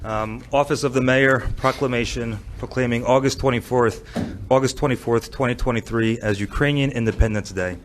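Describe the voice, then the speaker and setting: A man's voice: medium pitch, fairly even intonation, moderate pace, few pauses. Man formally reading, faint background noise. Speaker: township business administrator. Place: indoor township council meeting. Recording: public meeting room, faint noise.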